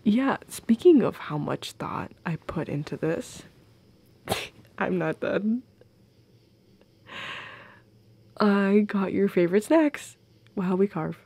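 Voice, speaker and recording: Goth girlfriend, played by a woman for intimate roleplay audio: unhurried, gravelly fry, soft, playful, affectionate. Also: mid-range voice, expressive, full character voice. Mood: tender, affectionate. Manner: teasing, giggly, intimate. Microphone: close, dry microphone.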